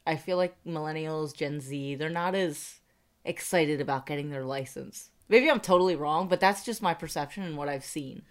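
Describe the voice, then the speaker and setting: A woman's voice: deep voice, moderate pace. A woman in her forties from Pennsylvania, co-hosting a casual money podcast, chatty, laughing often and poking fun at herself. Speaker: podcast co-host. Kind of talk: casual chat.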